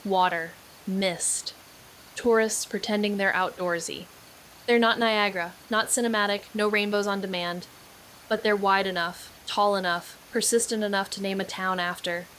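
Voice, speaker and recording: An actress playing a sharp young woman investigator who narrates in a wry, clipped way. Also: mid-range voice, fairly even intonation, moderate pace, a little hiss or hum, close to an everyday voice, poor audio. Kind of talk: audio drama acting and narration.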